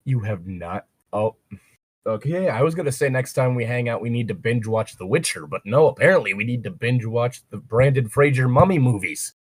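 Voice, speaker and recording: A man's voice: mid-range voice, animated, moderate pace, husky, no echo. A man reading a story aloud and breaking off into wry, sweary, rambling casual commentary as co-host. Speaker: co-host of a fan fiction reading show.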